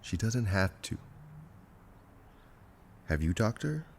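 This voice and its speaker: A man plays a guarded, wry operative in an audio drama, loyal and restless. His low voice crackles with fry and moves expressively up and down. Faint noise sits behind him. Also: sing-song, slow, exaggerated voice.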